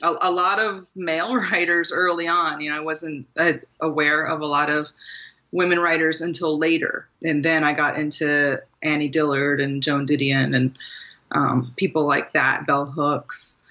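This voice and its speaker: Brief: female author guest, bright and forceful, rambling interview answers with frequent laughs, muffled lo-fi audio. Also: sits low, flat delivery, moderate pace. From Maryland, USA. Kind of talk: casual interview talk.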